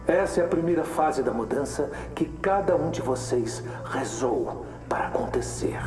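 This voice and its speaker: An actor playing a fanatical male revolutionary villain in an audio drama, giving a solemn, menacing speech in a deliberate, grandiose manner. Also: on the high side, expressive, natural voice. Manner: grandiose, deliberate, oratorical, dropping to a whisper at times. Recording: distant, echoey, with loud music.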